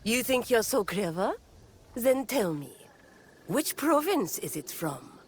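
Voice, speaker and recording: A whimsical young companion in a video game. Her voice is very animated yet unhurried, playful and teasing, over faint ambient noise. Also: fairly high pitch. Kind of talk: game dialogue acting.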